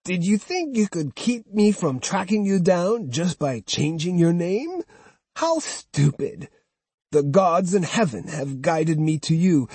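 Male narrator doing a vicious old crone, high, cackling and taunting, dry husky sound. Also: a little mellow, no echo, exaggerated voice. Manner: taunting, scolding. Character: spiteful shrill old woman. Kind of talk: audiobook character voice.

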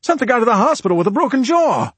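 A man's voice: very high-pitched, big pitch swings, fast talker, breathy edge, no echo, voice pushed up, heavily acted. A man voicing a blunt, crude, hot-tempered husband in an audiobook crime story. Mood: scornful.

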